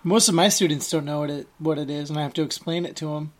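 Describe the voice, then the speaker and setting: A woman's voice: sits low, quick pace. A woman hosting a podcast, interviewing a friend in a playful, teasing way. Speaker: podcast host. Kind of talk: casual interview chat.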